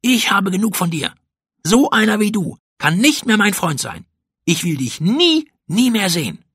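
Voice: mid-range voice, very animated, moderate pace, a bit bright, slightly rough, no room sound, clean studio sound, voice pushed up. A narrator voicing an emphatic, hot-tempered young boy rabbit from a children's storybook. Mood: sulky, resolute. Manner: exclamatory, emphatic, aggrieved.

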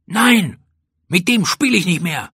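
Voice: mid-range voice, big pitch swings, slow, fairly bright tone, slightly rough, no room sound, clean studio sound, voice pushed up, heavily acted. A narrator voicing an emphatic, hot-tempered young boy rabbit from a children's storybook. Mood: sulky, resolute. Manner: exclamatory, emphatic, aggrieved.

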